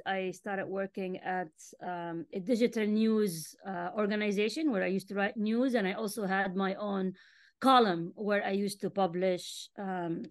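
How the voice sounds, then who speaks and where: Woman from Jordan, arabic native, forties, smooth clear voice, dry close sound, earbud mic on a video call. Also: mid-range voice, no room sound. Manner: frequent throat clearing and fillers. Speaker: interview guest, author. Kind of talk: casual video-call interview. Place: home room with bookshelves, indoors. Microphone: wireless earbuds on a video call.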